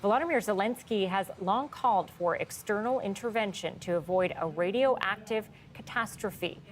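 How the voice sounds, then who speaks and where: A woman news anchor reading headlines in a steady, formal delivery. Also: medium pitch, moderate pace, slight background noise.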